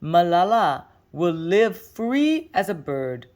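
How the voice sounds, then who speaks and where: A thoughtful storybook father, played by a woman reading aloud, explains things gently to his child. The delivery is slow and careful, with some hesitation, in a slightly low register for a female voice. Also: big pitch swings. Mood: gentle, hesitant. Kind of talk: picture-book read-aloud.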